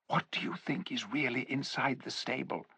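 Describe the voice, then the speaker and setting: A man's voice: mid-range voice, fairly even intonation, slow pace, dry recording, voice pushed up. A man voicing an anxious young girl who whispers in a storybook reading. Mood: anxious hushed. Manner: hushed, whispering. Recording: dull lo-fi recording.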